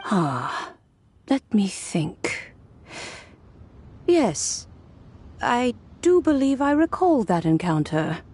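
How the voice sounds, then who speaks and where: An actress playing a gracious, kindly elderly woman in a video game, genteel and gently amused. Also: fairly high pitch, very animated, very slow, long pauses, breathy edge, exaggerated voice. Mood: wistful. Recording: music behind the voice.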